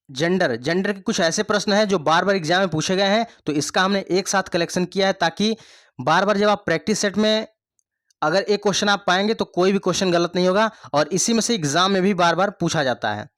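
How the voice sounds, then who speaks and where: High-voiced male Hindi tutor, repetitive. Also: a little fast, a bit bright, no room sound, clean studio sound. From India. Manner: repeats points, asks the class rhetorical questions. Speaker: exam coaching teacher. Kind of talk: online Hindi grammar lesson.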